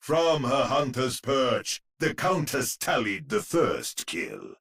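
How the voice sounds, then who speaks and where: Game casting: grandiose gothic storyteller knight, low and husky, theatrical swoops, savors every word. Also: male voice, huge pitch swings, slow pace, fairly bright tone, full character voice. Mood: dramatic. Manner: pompous, dramatic, savoring words. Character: grandiose old storyteller knight narrating a spooky tale.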